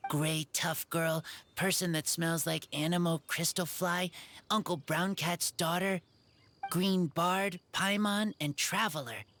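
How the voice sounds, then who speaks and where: A woman playing an earnest, loyal feral boy in a video game, speaking in halting, simple sentences. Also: fairly low pitch, steady tone, a bit bright. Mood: earnest, grateful.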